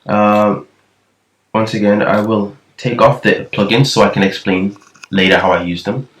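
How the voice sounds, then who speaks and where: A man's voice: on the low side, fairly even intonation, moderate pace, a bit bright. A man with a thin voice giving a casual, rambling tutorial on nasheed production, often restarting his sentences. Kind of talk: casual screen-recorded tutorial. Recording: echoey room, far from the mic.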